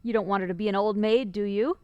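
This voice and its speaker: A woman's voice: mid-range voice, fairly even intonation, quick pace, darkish tone, clean tone, no room sound, lo-fi sound, natural voice. A woman playing a fond, matchmaking mother in a radio drama, coaxing as she talks. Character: fond, nudging, matchmaking middle-aged mother.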